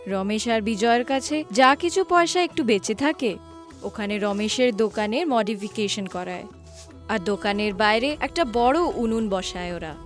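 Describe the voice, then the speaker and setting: High-voiced woman narrating a cartoon story. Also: lively intonation, normal speed, soft background music.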